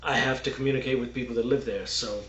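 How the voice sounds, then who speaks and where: Texas-raised man, mid pitch, halting unscripted explainer, dull roomy sound. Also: steady tone, fairly bright tone, a little hiss or hum. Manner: halting, many fillers and restarts, explains with "okay" and "right". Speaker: religious commentator. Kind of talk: unscripted video monologue.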